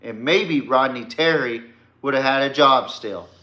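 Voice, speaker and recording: A male sports podcast host speaks bluntly and confrontationally, taking his time between phrases. His voice is forceful and bright, and the room around him echoes. The delivery is an informal, slangy rant aimed at a rival. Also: mid-range voice, a little slow.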